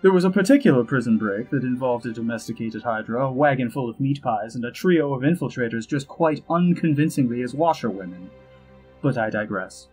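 Male actor as elf ambassador, theatrical, arch, self-satisfied, flowery speech, husky voice, audio drama, soft music behind. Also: mid-range voice, expressive, a little fast, low-quality recording. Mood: wry relish. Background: music under the voice.

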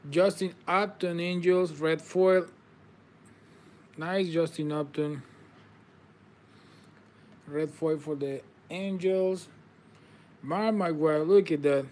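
A man's voice: fairly high pitch, fairly even intonation, slow, pauses often, a little mellow. A man, whose first language is Spanish, hosts a live trading card break, calling out players and congratulating viewers in slightly non-native phrasing. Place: indoor table with card boxes.

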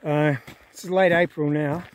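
A man in his forties speaks in a slightly high voice to a phone held at arm's length. He is out on an open mountain trail, walking and pausing to marvel at the view. His talk is casual and easy. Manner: relaxed, awed, talking to camera while walking. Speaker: hiker vlogger. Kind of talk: casual on-the-go vlog narration. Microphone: phone camera held at arm's length.

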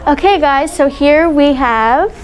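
A woman's voice: sits high, slow pace. A woman speaking casually as a vlog host and interviewer. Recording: faint background noise.

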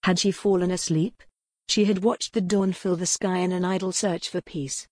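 A woman audiobook narrator reads historical romance as dramatic, scripted storytelling. Her voice sits a little low. The sound is dry and free of room echo. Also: fairly even intonation, moderate pace, a little mellow.

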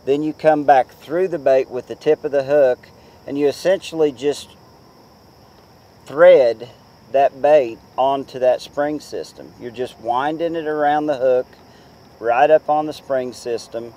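A man in his forties giving casual fishing tips to the camera from a boat on a lake, talking in a relaxed, chatty way with many fillers. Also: mid-range voice, a little slow. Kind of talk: casual how-to talk to camera.

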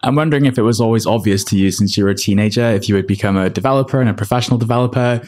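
Male host, thirties, lowish voice, friendly questions, boom mic. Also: rapid-fire, a little mellow. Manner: friendly, asks open questions. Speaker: podcast host. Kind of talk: interviewing. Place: home room with plant. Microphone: studio mic on boom.

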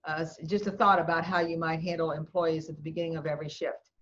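A woman's voice: low voice, flat delivery, a bit bright, lo-fi sound. Woman moderating a video call town hall. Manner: measured, with many fillers.

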